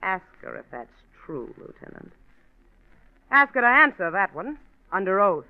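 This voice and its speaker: An actress playing a proud, defensive newspaperwoman under suspicion, crisp and assertive, in a 1940s radio mystery. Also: medium pitch, big pitch swings, unhurried. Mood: cuttingly accusing. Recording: muffled, narrow-band old radio recording.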